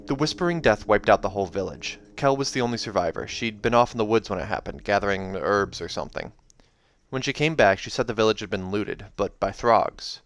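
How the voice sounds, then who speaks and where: A man's voice: lowish voice, expressive, fairly quick, few pauses, fairly bright tone. A man voicing an earnest, protective young adventurer in a storybook, in a plain, defensive manner. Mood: explaining.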